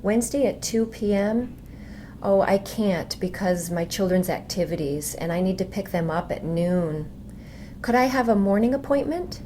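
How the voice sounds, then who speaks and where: A woman's voice: mid-range voice, expressive, normal speed, mellow, close to an everyday voice. A woman voicing an earnest, insistent deaf patient in an educational dramatization. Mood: polite. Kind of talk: voiced dialogue and scripted explanation in an educational video. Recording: faint background noise.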